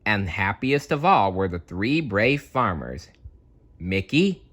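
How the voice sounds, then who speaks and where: A man narrates a children's read-aloud with a very animated, bright voice. His delivery is playful and dramatic, unhurried, with a husky edge. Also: on the low side. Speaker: storyteller.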